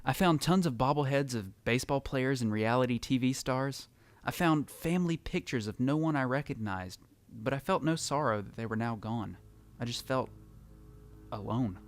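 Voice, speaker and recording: A man's voice: medium pitch, slight background noise, close to an everyday voice. A man playing a lonely, reflective survivor who narrates his post-apocalyptic journey in a scripted audio drama.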